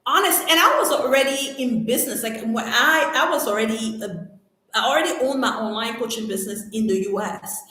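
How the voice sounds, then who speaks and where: A middle-aged woman from Cameroon whose first language is French hosts a casual live Q&A. She talks in an animated, emphatic way with slangy exclamations, and her voice sits a little high. The sound is heavily echoey, as if she is in a bare room. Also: fairly quick. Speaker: livestream host answering viewer questions.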